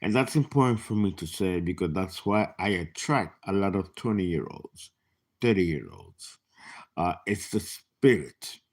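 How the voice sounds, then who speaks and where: A man in his late fifties reads tarot for his viewers in a relaxed, talkative way. His voice sits low but leaps up and down expressively, and he takes his time. He slips into whispers and laughs between thoughts. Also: a little slow. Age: 50s. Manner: animated, chatty, laughing asides, sudden whispers. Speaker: tarot reader. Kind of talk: casual live tarot reading.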